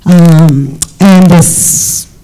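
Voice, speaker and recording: An Australian speaker hosting a live panel discussion in a relaxed, joking manner. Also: medium pitch, clear, resonant tone. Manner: relaxed, joking, drawn-out phrasing. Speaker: panel host.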